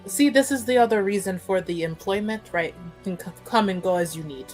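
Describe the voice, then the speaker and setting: A woman's voice: medium pitch, fairly quick, a little mellow, faint music behind. A chatty, playful woman talking casually as a player in a tabletop roleplaying game. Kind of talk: casual improvised roleplay and narration.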